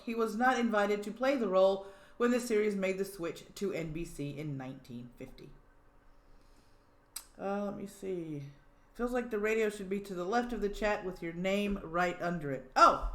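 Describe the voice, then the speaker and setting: A woman hosting a livestream in a relaxed, chatty way, giggling often and thinking aloud between notes. Her voice sits at a middle pitch. The room gives it a noticeable echo. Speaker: livestream host. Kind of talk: casual chat. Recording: roomy echo.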